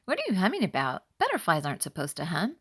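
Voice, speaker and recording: A woman's voice: fairly low pitch, wildly swooping pitch, fast, slightly rough, full character voice. A woman voicing a blunt, disapproving female bee in a children's storybook, with a scolding manner.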